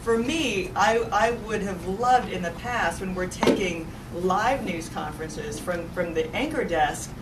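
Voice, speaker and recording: A woman's voice: lowish voice, a little slow, few pauses. An American woman speaking informally and emphatically on a discussion panel, repeating phrases for effect. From suburban Dallas, USA. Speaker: news anchor on a discussion panel. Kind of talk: animated, informal panel talk. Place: event room at a live panel discussion. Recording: noisy, echoey room, distant mic.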